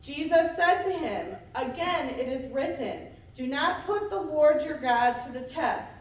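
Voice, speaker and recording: A woman reading a scripture passage aloud in church at a measured, deliberate pace. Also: high voice, lively intonation. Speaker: scripture reader. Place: church sanctuary. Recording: echoey church from far off.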